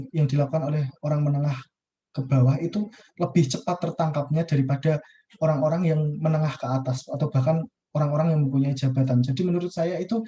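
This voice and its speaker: A man's voice: a little high, mellow, lo-fi sound, a little hiss or hum. A young man, a university student, talking through a class discussion on a video call, casual and hesitant with many fillers and restarts. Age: young adult.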